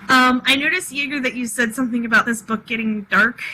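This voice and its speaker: A woman reading a novel aloud in an expressive voice, then chatting casually with laughs and some swearing. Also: a little high, fast, a little hiss or hum, poor audio. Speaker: reader.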